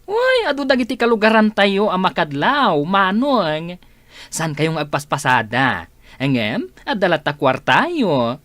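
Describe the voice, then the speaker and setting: A man's voice: sits high, huge pitch swings, unhurried, a bit bright, no room sound, full character voice. An actor with a Filipino Ilocano accent playing an earnest, respectful younger man who questions his elder with worry, in radio drama style. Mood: worried, questioning.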